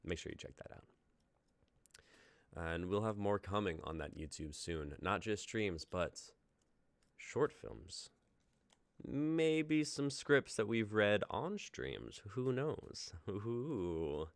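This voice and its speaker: A man's voice: on the low side, very animated, takes it slowly, no echo. A young man hosting a live stream, chatty and casual as he plugs links and upcoming plans. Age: young adult. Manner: chatty, plugging links and schedule. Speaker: stream host.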